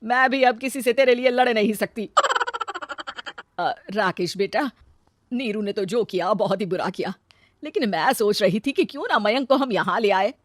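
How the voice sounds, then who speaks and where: A woman's voice: mid-range voice, normal speed, no echo, close to an everyday voice. A woman voicing a protective middle-aged mother in a family story, with a coaxing, motherly manner. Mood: reasoning.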